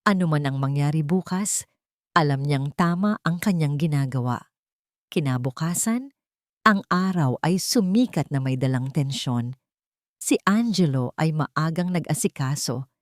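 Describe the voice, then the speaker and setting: A woman's voice: lowish voice, darkish tone, no echo, studio quality. A woman narrating a scripted love story in a dramatic storytelling style.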